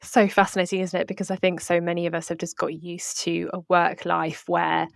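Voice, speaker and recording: A woman interviewer with a lowish voice and a noticeable creak. She talks in an engaged, chatty way, thinking aloud on the way to her questions. The recording is close and dry. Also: level tone, fairly quick, no room sound. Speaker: interviewer, host. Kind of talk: conversational interview.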